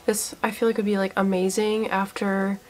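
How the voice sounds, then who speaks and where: A woman's voice: medium pitch, level tone, normal speed, darkish tone, a little hiss or hum. Young woman, stuffy cold voice, chatty skincare review, eager, lots of fillers, close camera, small room. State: congested from a cold. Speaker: beauty vlogger. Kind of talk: casual product review.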